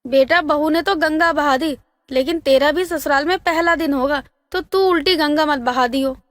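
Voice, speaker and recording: A woman's voice: high voice, normal speed, no echo, clean studio sound, lightly acted. A woman voicing a proud, bossy middle-aged mother-in-law in a family story. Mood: instructing.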